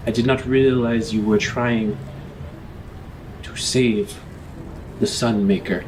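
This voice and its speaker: A man's voice: on the low side, steady tone, takes it slowly, darkish tone, slight background noise, rough recording. Man putting on a stiff, formal alien crime boss. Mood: stiffly apologetic. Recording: music playing under the voice.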